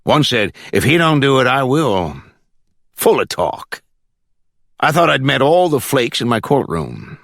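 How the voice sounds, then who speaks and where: A man's voice: medium pitch, very animated, a little slow, gravelly, fairly bright tone, no room sound, studio quality, voice pushed up, exaggerated voice. A man voicing a cocky, irritable judge in an audiobook, with a curt, folksy, impatient way of talking. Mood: irritated, boastful. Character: brusque, blustering male judge, cocky and irritable.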